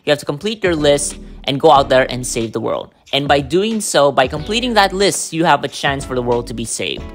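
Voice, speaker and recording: A man's voice: fairly high pitch, expressive, fairly quick, faint music behind. A man hosting his own podcast, giving a casual, unscripted show review in a chatty way, with lots of fillers and restarts. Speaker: podcast host. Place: New York City.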